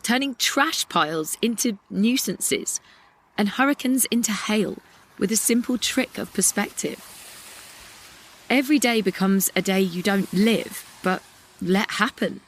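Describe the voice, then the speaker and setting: Actress as young woman doing a poetic weary monologue, mid-pitched, lyrical. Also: expressive, a little slow, slightly rough. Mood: weary, reflective. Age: young adult. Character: weary, reflective young woman narrating a climate-ravaged world. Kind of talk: poetic audio play monologue.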